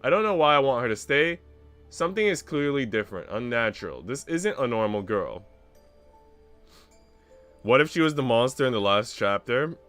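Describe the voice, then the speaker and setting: A man's voice: mid-range voice, very animated, normal speed, pauses often, a bit bright, soft background music. A man streaming a visual novel, reading its narration aloud expressively with casual commentary.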